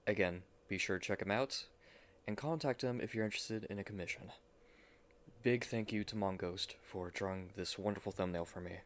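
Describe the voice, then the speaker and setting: A man's voice: sits low, moderate pace, slight background noise. A man hosting, giving a scripted introduction to a roleplay video. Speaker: channel host and roleplay voice actor. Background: music.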